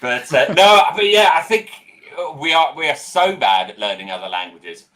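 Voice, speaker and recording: A man's voice: a little high, animated, moderate pace, pushed and bright. An English man joking with mocking asides in a casual live-stream chat over a video call. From England.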